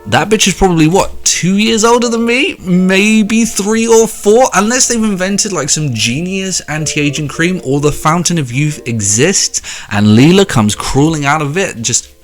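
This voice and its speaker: A man in his late twenties giving a sarcastic, profane commentary monologue, with sudden pitch jumps for emphasis. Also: expressive, measured pace. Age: 20s. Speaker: video commentator.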